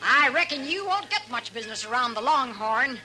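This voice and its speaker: An actress playing a brash, domineering middle-aged saloon owner with a big, harsh voice and an American Western drawl, in 1950s radio western style. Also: female voice, sits high, expressive, moderate pace, bright, forceful tone, heavily acted. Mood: brash, folksy. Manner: blunt, bossy, folksy. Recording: old radio recording with music.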